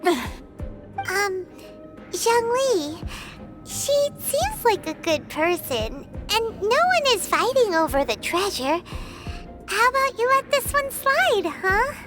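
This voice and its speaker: A woman voicing a tiny, excitable girl companion in a video game, with a high squeaky voice and a pleading, kid-like way of talking. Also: slow pace, exaggerated voice. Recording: game audio with music behind.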